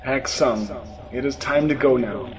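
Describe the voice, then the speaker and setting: A man voicing a gentle, grave divine guide, speaking in measured tones in a religious story. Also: medium pitch, a little hiss or hum, voice pushed down, lightly acted. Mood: solemn. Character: calm, solemn, protective Jesus guiding a child.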